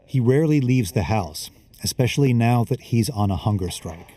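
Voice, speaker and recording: A man's voice: mid-range voice, animated, normal speed, dark tone. A man working as a reporter, reading scripted narration for a documentary. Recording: music under the voice. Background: background music.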